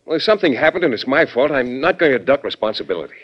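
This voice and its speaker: An actor playing an earnest, stubborn young army lieutenant in an old radio drama, respectful and conscientious. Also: male voice, medium pitch, sing-song, very fast. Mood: earnest, insistent. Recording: dull, band-limited vintage radio recording.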